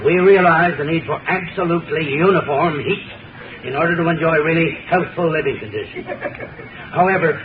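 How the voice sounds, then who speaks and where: A man giving a formal, deliberate 1930s radio advertising talk as an expert. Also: on the high side. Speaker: heating expert in a sponsor spot. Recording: phone-like, echoey, distant, noisy 1930s radio recording.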